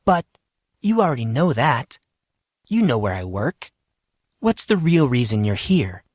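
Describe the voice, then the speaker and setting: A man's voice: medium pitch, very animated, a little slow, a little mellow, no echo, clean studio sound. A man voicing a concerned, questioning woman teacher in an audio story. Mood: wary, confronting. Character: worried, challenging adult woman teacher in high heels.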